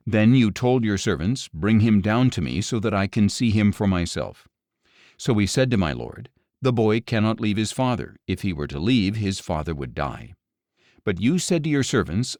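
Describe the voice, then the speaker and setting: A man's voice: on the low side, a little mellow, no room sound, studio quality, natural voice. A man voicing a humble adult brother who pleads earnestly and deferentially for his family in a scripture audiobook.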